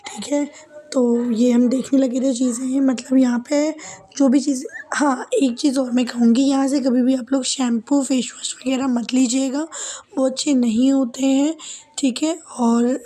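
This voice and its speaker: A young woman narrating her shopping vlog in a chatty, casual way, her voice a little hoarse from a sore throat. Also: high-pitched, warm tone. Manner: chatty, many fillers and "ठीक है" asides. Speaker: vlogger.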